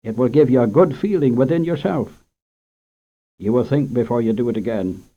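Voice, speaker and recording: A man's voice: medium pitch, normal speed, natural voice. A man reading aloud and voicing a stern rural mother who questions and scolds her son firmly. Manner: firm, scolding, moral. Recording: dull lo-fi old radio recording.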